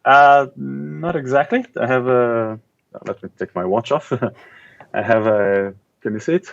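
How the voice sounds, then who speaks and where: A middle-aged man from Israel, an author being interviewed, speaking in a thoughtful, casual way with many pauses and ums. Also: mid-range voice, gravelly, highs cut off.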